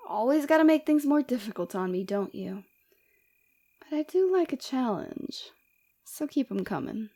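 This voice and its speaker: A woman playing a flirty, forward villain and rival warrior in an ASMR roleplay, teasing and coy with a breathy voice, close to the microphone. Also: fairly high pitch, sing-song, measured pace, long pauses, warm tone, heavily acted. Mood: playful, flirty. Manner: teasing, coy, seductive, often dropping to breathy whispers.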